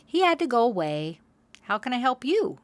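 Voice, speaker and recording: Silly little owl kid done by a lady reading a storybook, bouncy singsong, kinda high. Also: sing-song, normal speed, no echo. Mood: eager. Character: playful, silly young male owl.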